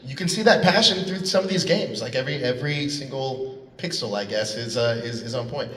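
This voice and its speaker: A man's voice: mid-range voice, brisk, a bit bright. A man moderating a convention panel, posing interview questions to guests. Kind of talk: interview questions, reading out audience questions. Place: large convention panel hall. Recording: echoey hall, distant PA sound.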